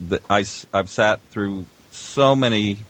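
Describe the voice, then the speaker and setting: An older man, a veteran producer, chatting casually and haltingly, acting out quotes as he tells anecdotes. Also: lowish voice, fairly bright tone. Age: 50s or older. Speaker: veteran audio producer, guest.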